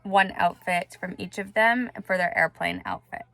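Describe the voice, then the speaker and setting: A woman's voice: fairly low pitch, fairly even intonation, normal speed, a bit bright. A young woman chats casually in a family vlog, thinking aloud as she goes about a task at home. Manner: informal, thinking aloud while busy. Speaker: vlogger and mother of two young children. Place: indoors at home. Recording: clearly noisy home recording with clicks.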